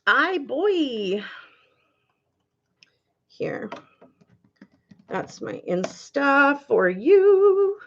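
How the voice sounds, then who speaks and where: Lively high-pitched Canadian woman, giggly. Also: slow pace, dull audio. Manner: giggly, chatty, affectionate. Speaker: livestream host. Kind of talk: casual livestream chat with viewers.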